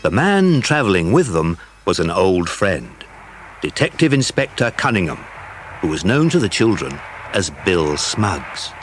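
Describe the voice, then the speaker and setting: A man's voice: sits low, sing-song, a little slow, slight background noise. A man with a British accent narrating a scripted children's adventure story.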